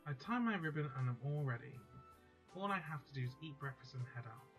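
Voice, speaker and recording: A man casually reading a visual novel's text aloud, steady but stumbling and correcting himself now and then. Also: a little high, brisk. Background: faint background music.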